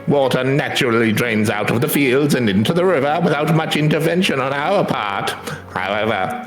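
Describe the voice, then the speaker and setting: A man's voice: medium pitch, a bit bright, close to an everyday voice. Guy doing a humble polite farmer from a fantasy game, keen and formal, echoey w music behind. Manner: formal, courteous phrasing. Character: earnest, humble adult rice farmer, polite and enthusiastic. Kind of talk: scripted game dialogue read aloud. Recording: roomy echo, music behind.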